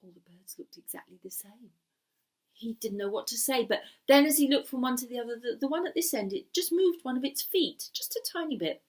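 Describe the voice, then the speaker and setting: A woman telling a story in an animated, dramatic way, often dropping to a hushed breathy delivery. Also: sits high, brisk. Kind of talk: animated bilingual oral storytelling.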